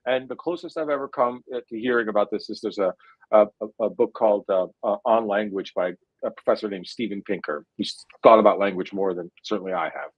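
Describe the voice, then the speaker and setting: Guy in his 40s, jersey accent, lots of uhs, thinks out loud, dry close sound. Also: on the low side, no room sound, muffled recording. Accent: New Jersey. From India. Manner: thoughtful, hesitant, full of drawn-out "uh" fillers. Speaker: guest neuroscientist. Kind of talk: conversational interview answer.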